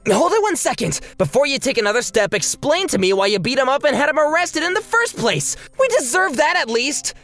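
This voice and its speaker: A woman's voice: high-pitched, very animated, a little fast, few pauses, a bit bright, faint music behind. A woman playing an eager, indignant teenage student in a manga dub, earnest and exclamatory.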